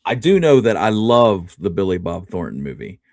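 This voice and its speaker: A man co-hosting a history show in casual chat, informal and halting as he corrects himself. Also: deep voice, very animated, darkish tone, no room sound, clean studio sound. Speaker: co-host of a history talk show.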